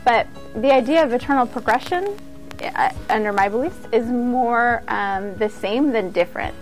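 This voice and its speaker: A woman's voice: fairly high pitch, moderate pace, faint music behind, poor audio. A woman giving an informal instructional talk as a yoga teacher, conversational and earnest.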